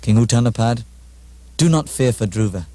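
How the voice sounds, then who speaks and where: A man acts out a wise old-world sage for a puppet play. He speaks in a mid-pitched voice, calm and formal, like a teacher giving measured instruction. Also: dry recording, close to an everyday voice. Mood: reassuring, instructing. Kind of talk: puppet show dialogue, measured formal delivery.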